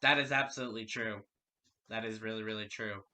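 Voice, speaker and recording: A man's voice: on the low side, steady tone, fairly quick, sharp tone. A man hosting an interview show, politely and formally putting prepared questions to a guest. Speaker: radio show host and interviewer.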